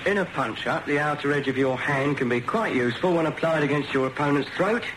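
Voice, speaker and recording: An actor playing a hearty, matter-of-fact British military judo instructor in a radio drama. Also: male voice, a little high, lightly acted. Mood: instructive. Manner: brisk, instructive. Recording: noisy radio drama recording.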